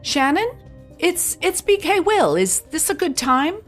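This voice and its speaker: A woman's voice: extremely high pitch, very animated, unhurried, soft background music, heavily acted. A woman playing a wry, skeptical investigative host, narrating a scripted mock documentary podcast. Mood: curious.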